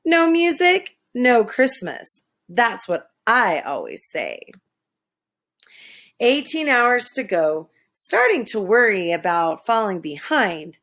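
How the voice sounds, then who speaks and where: A woman reading a children's story aloud in an expressive, dramatic way. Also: on the high side, slow pace. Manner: animated, dramatic, with hushed asides. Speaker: storybook reader.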